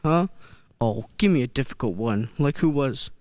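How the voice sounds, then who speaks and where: A performer playing a kindly, unflappable elderly man who believes he is Santa in a radio play, genial and gently probing. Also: medium pitch, animated, normal speed, dry recording, close to an everyday voice. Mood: genial, confident.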